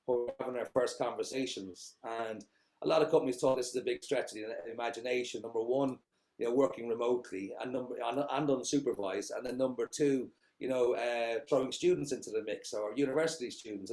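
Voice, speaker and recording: A man's voice: mid-range voice, fairly even intonation, a little fast, darkish tone, poor audio. A middle-aged Irish man, a company founder, giving long, rambling answers in a casual interview, full of fillers and restarts. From Ireland.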